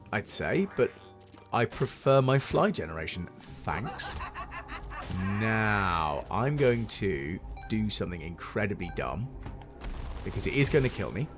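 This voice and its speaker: A British man with a deep, resonant and slightly hoarse voice, giving wry, self-deprecating commentary while playing a game. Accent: British English. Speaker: gameplay commentator. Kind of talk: casual let's-play commentary. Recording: game music playing under the voice. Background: game music.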